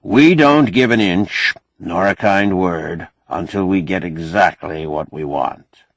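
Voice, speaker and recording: Audiobook role: unbending male boss, read by a male narrator, deep-set husky voice, shrewd and commanding. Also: takes it slowly, no room sound, heavily acted. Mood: firm, commanding. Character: shrewd, stubborn male boss giving firm orders.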